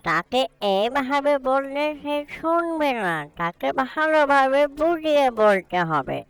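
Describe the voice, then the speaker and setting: A woman voicing a fussy, expressive talking magic pot in a cartoon. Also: high-pitched, takes it slowly, full character voice. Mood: coaxing. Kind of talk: cartoon voice acting.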